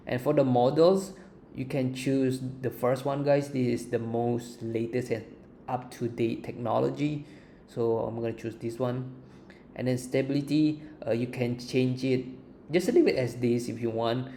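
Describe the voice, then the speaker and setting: A man presenting an informal software walkthrough as a tutorial host. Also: mid-range voice. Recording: faint background noise.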